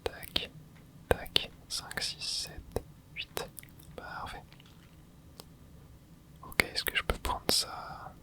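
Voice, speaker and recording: Young man whispering gentle gameplay commentary, hushed and soft throughout, with excited little asides. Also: very slow, pauses often. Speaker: gaming ASMR creator.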